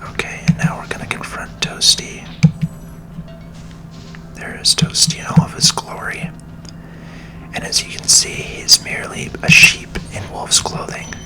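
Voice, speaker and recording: A gamer gives ASMR commentary entirely in a breathy whisper. The delivery is gentle and unhurried, with a reassuring way of talking through the play. Video game music is clearly heard behind the voice.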